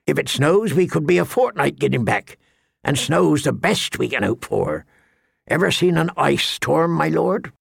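A man's voice: on the high side, animated, a little slow, vocal fry, slightly rough, dry recording, clean studio sound, full character voice. A man voicing a grizzled, grim old man-at-arms in an audiobook, with grave, rustic, plain speech. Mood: uneasy.

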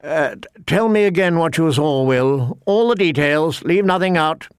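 A man's voice: fairly high pitch, lively intonation, normal speed, clear, resonant tone, no room sound, studio quality, pitched above the performer's own voice, close to an everyday voice. A man voicing a haughty eighteen-year-old knight in an audiobook, condescending and languid as he questions his men. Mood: bored.

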